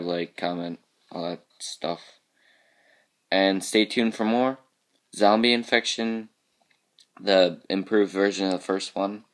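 A man walking through how he built his game levels, talking casually with a groggy, raspy voice because he has just woken up, often trailing off into quiet mumbles. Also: low voice. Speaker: level creator showing his game work. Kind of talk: casual screen-capture walkthrough.

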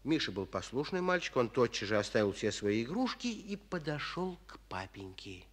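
An actor narrating a 1940s children's radio play as a kindly storyteller, expressively addressing young listeners. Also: male voice, medium pitch, big pitch swings, normal speed. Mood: storytelling.

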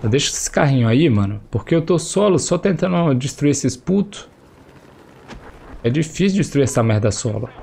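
A man's voice: mid-range voice, animated, normal speed, creaky, a little mellow, a little hiss or hum. A young man with a Brazilian Portuguese accent, chatty and slangy, commenting on his own gameplay through a headset while still getting over a cold. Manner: chatty, slangy, exclaims at the action. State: recovering from a cold, voice slightly affected. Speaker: gameplay streamer. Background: faint game gunfire and explosions.

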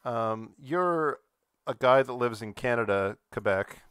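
A man's voice: medium pitch, animated, a little slow, fairly bright tone, clean tone, dry recording, studio quality. A man hosting a podcast in casual, comedic banter. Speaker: podcast host.

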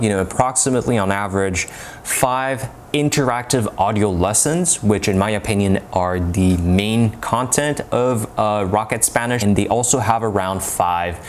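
Young man, lowish voice, lots of "uh" and "you know", lapel mic, faint room hum. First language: French. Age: young adult. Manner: talks with his hands, many fillers and restarts. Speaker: language-learning reviewer. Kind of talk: casual product review to camera. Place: home room with bookshelves and a lamp.

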